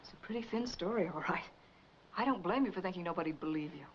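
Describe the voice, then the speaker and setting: A fast-talking American woman plays a loyal, worried sweetheart in a 1940s noir picture. Her voice comes through a muffled, slightly noisy old soundtrack. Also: on the low side, animated, close to an everyday voice. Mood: doubtful, supportive. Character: worried, loyal sweetheart, a busy working mother. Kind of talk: 1940s film noir acting.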